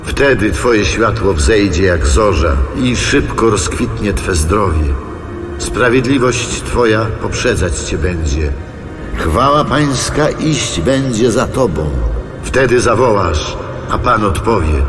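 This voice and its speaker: An actor playing a solemn prophet gravely proclaiming divine promises in a scripture audio drama. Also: male voice, fairly low pitch, expressive, slow. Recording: long reverberant hall, music behind.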